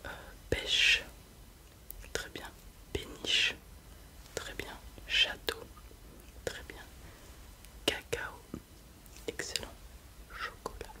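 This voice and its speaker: An ASMR creator whispering softly and without voice while running a roleplay exam, giving gentle praise after every answer. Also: extremely slow, long pauses, poor audio. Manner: soft, unvoiced whispering throughout. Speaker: ASMR creator playing an examiner. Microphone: close mic with left and right ear whispering.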